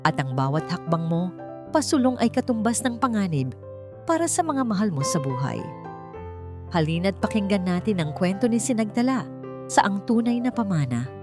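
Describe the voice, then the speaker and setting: A woman with a Filipino accent narrating a scripted story in a measured, dramatic way. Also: medium pitch, very animated. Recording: dry studio voice over background music. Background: background music.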